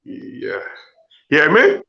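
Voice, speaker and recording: A man from Trinidad and Tobago joining a livestream as a guest, talking loudly and checking he can be heard. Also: slow pace, dull audio. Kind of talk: casual livestream chat.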